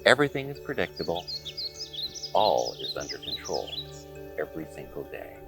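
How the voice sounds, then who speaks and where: A man reading a picture book aloud to children in an expressive, dramatic storytelling manner. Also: extremely low pitch, music in the background. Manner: expressive, dramatic pauses and exclamations.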